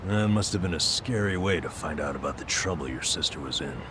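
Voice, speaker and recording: A dry, skeptical, level-headed man from a game drama. His voice is deep and measured, with clear traffic rumble around it. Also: lively intonation. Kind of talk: English video game dub acting.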